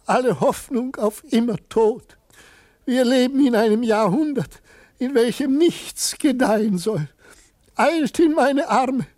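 A proud, middle-aged father and artist in a radio drama, besotted with his own work. His delivery is slow and grandiloquent, with a husky grain and effusive, theatrical phrasing. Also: high voice, darkish tone, full character voice. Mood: despairing lament. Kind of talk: theatrical German radio play acting.

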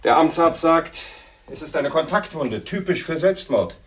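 An actor playing a suspicious, authoritative police inspector with a businesslike, questioning manner in a German radio crime drama. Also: male voice, on the high side, lively intonation, unhurried.

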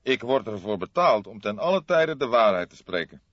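A man's voice: fairly low pitch, very animated, moderate pace, no echo, voice pushed up. An old police detective acted out by a male reader who speaks emphatically and with authority. His humour is dry, and the audiobook sound is close, dry and somewhat dull. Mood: drily witty.

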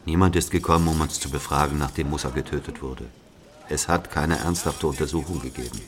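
A man's voice: extremely low pitch, brisk. A man playing a bitter brother of a murdered man, telling his story in an emphatic, conversational dramatic monologue. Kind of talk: confiding dramatic monologue to a listener.

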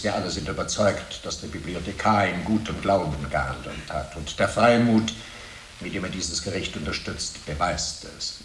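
A man's voice: low voice, fairly bright tone. A coldly righteous inquisitor holds forth in an echoing room with faint noise behind. He is rhetorical and pompous, a suave prosecutor with menace in a medieval radio play. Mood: smoothly official. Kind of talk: German radio drama acting.

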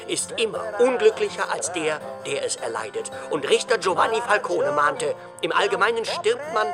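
An impassioned rebel radio presenter in a crime drama. His fairly high voice swings widely as he addresses listeners, defiant yet mournful, over prominent guitar music. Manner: emphatic, theatrical, addressing listeners.

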